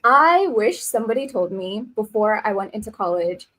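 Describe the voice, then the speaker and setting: A woman's voice: mid-range voice, lively intonation, normal speed, fairly bright tone, rough recording. A woman in her twenties, a nursing student, talking casually as an interview guest, chatty and rambling with many fillers. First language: English. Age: 20s.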